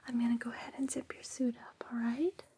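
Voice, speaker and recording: A woman performing a whispered ASMR roleplay, gentle, soothing and unhurried, close on a binaural microphone. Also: fairly high pitch, level tone, a little hiss or hum.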